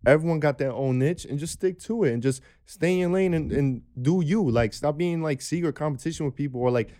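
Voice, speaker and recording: A man's voice: a little high, a little fast, dark tone. A man in his twenties, a podcast guest, speaking earnestly and restarting his phrases as he thinks. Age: 20s. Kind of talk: casual podcast chat.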